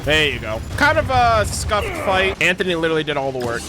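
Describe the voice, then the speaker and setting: A man streaming a video game, excitable, swearing and yelling during fights. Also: on the high side, brisk. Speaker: gaming streamer. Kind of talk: live game stream commentary. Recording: voice over loud game music.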